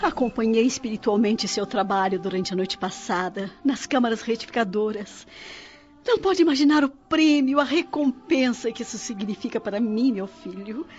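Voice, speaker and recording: A woman's voice: on the high side, moderate pace, darkish tone, lightly acted. A woman with a Brazilian accent playing a loving, devout spirit mother in a radio drama, tender and solemn as she exhorts her son. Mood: tender, proud. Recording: slightly dull radio drama with music. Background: music.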